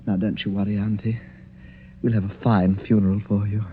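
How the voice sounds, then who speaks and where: An actor playing a mocking young nephew who is a greedy killer, in 1940s radio acting style. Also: male voice, on the low side, flat delivery, fairly quick, very noisy, close to an everyday voice. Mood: menacing. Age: young adult. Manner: mocking, taunting, laughs. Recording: dull lo-fi old radio recording.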